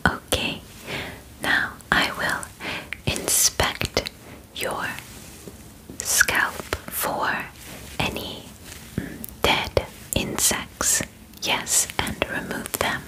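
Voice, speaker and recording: Young woman doing a calm alien examiner for asmr, super slow breathy whisper, gentle and clinical. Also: poor audio. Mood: calm, attentive. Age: 20s. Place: bedroom, indoors. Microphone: close ASMR microphone.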